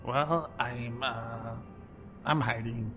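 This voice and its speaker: A man's voice: medium pitch, fairly even intonation, very slow, a bit bright, faint music behind. A man playing a timid, bumbling archive assistant in a sci-fi audio drama, hesitant, stammering and apologetic. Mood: cowering. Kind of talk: fan audio drama acting.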